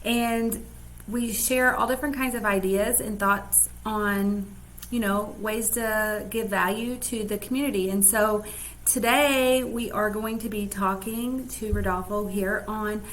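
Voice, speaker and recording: A woman's voice: medium pitch, steady tone, measured pace, slight background noise, low-quality recording. A woman co-hosting a weekly live online show, introducing a guest in a rambling, casual way. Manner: rambling, many fillers. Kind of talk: casual livestream chat.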